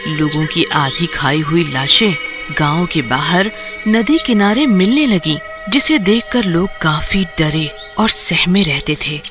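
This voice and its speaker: A man's voice: a little high, lively intonation, slow. A man narrating a scripted children's horror story as the storyteller. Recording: music clearly behind the voice.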